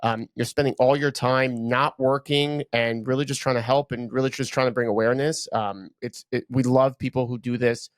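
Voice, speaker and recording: A man's voice: mid-range voice, steady tone, moderate pace, few pauses, no room sound. A man hosting a podcast, enthusiastic and casual, repeating words and using lots of fillers as he asks questions. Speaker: podcast host. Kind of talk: casual interview talk.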